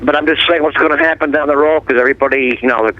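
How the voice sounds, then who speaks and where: An older man calling in to a radio show, rambling and halting as he complains. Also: on the high side, steady tone. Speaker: caller. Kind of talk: casual call-in chat. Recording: dull lo-fi phone call.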